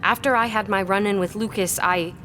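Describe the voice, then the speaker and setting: An actress in an audio drama playing a guarded but loyal scholar, speaking earnestly and hesitantly. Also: female voice, mid-range voice, fairly even intonation, brisk, a bit bright, faint music behind, natural voice. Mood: hesitant confession. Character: guarded, loyal female scholar hiding a secret.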